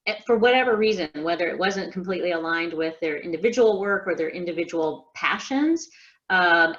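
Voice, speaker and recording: A woman with a slightly low voice adds informal remarks during an online meeting. She feels her way through her sentences a little hesitantly, and the call audio is somewhat muffled. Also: fairly bright tone. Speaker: meeting participant, team member. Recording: dull video-call audio.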